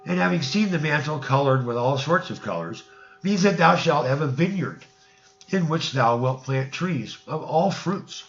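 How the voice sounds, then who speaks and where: A man's voice: a little high, lively intonation, moderate pace, muffled recording. A man voicing a grasping, brazen innkeeper and dream interpreter in a storybook, declaiming insistently in archaic speech. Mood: smugly insistent.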